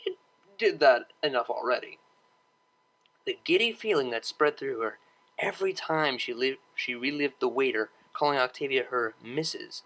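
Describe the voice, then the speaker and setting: A woman's voice: a bass voice, animated, moderate pace, fairly bright tone, low-quality recording. A woman reading a scripted story aloud as its narrator.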